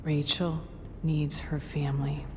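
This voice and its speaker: A woman's voice: low voice, flat delivery, slow pace, long reverb tail, background noise. A middle-aged woman playing a weary, guilt-ridden estranged mother, somber, terse and stubborn, in a dramatic video game cutscene. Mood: quietly firm.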